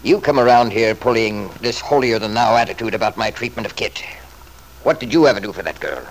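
Scornful, self-justifying wealthy father, played by a man, brisk and sarcastic, classic radio drama. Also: fairly low pitch, lively intonation, slight background noise, close to an everyday voice, poor audio. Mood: indignant. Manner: blustering, sarcastic. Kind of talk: old-time radio drama acting.

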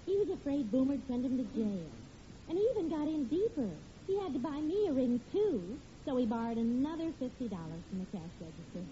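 A woman's voice: high voice, very animated, a little fast. An actress playing a wry, patient middle-aged American mother in 1940s radio comedy style, telling a story conversationally. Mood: wry reminiscing. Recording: muffled, faintly noisy old radio recording.

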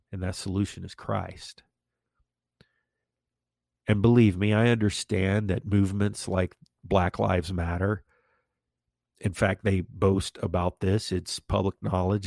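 Male podcast preacher, lowish gravelly voice, congested and a bit nasal, emphatic, dry close sound. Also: darkish tone, dry recording. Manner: slow, emphatic, declarative preaching. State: congested and a little nasal from allergies. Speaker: podcast host.

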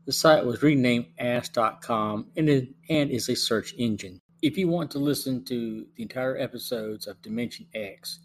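A middle-aged man hosting a review podcast, reading scripted narration in a measured way. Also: mid-range voice. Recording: faint hum and background noise.

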